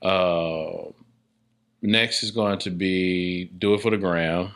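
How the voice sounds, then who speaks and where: A man's voice: sits low, steady tone, takes it slowly, fairly bright tone, smooth. A man speaking casually as an interview guest, emphatic and often repeating his phrases. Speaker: podcast guest, filmmaker.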